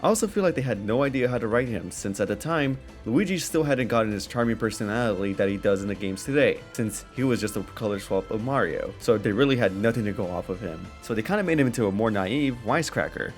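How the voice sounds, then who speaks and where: Animated male film reviewer over music. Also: on the low side, fast talker, few pauses. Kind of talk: casual scripted video-essay narration. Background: background music under voice-over.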